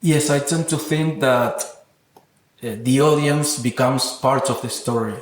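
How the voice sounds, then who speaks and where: Male interview guest, reflective and philosophical artist type, average pitch, noticeable room echo. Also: a little slow. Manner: thoughtful, earnest, philosophical. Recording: roomy echoing sound.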